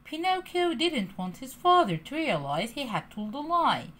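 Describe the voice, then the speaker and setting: A woman reading a children's story aloud in an expressive, lilting way. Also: sits high, unhurried.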